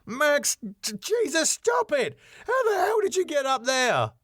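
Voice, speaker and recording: A man's voice: very high-pitched, very animated, a little slow, fairly bright tone, dry recording, clean studio sound, voice pushed up, exaggerated voice. A man voicing a cheeky, glib music promoter who wisecracks and placates with a flippant manner. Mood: alarmed.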